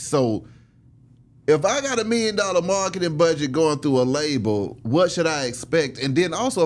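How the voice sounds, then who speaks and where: A man hosting an interview, asking questions in an informal, slangy way with some mild swearing. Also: fairly high pitch. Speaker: interviewer, host.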